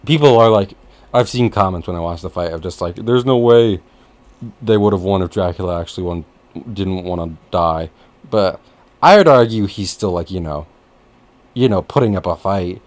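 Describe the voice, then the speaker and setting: A man hosting a casual podcast chat, rambling and jokey, with easy swearing and an animated delivery. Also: low voice, moderate pace. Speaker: podcast host.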